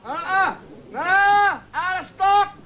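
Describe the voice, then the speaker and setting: A clueless stockroom worker, absurdly high and squawky. He drawls out terse replies in a slightly muffled old radio comedy recording. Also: lively intonation, takes it slowly, heavily acted. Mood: vacant. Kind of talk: 1970s radio comedy sketch acting.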